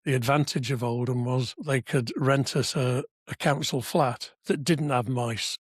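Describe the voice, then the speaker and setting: A man in his seventies from Wales with a slightly husky voice, chatting through memories in an anecdotal way with dry asides. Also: medium pitch, steady tone, unhurried, no echo. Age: 70s. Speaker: podcast guest, civic society member. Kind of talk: conversational reminiscence. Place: podcast studio.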